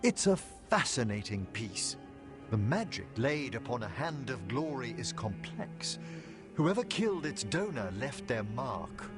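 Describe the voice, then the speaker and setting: An actor playing a refined, earnest scholarly necromancer in a video game, with formal, eloquent and carefully worded speech. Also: male voice, medium pitch, big pitch swings, measured pace, vocal fry. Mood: composed, fascinated. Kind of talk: video game dialogue acting. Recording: music behind the voice.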